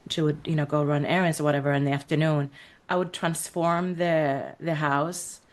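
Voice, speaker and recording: A woman from Iceland with a notably low, deep voice talks through her childhood in a relaxed interview. She reflects as she goes, pausing on fillers and telling stories. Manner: reflective, storytelling, frequent fillers and laughs. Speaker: podcast guest, creative coach. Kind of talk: casual interview conversation.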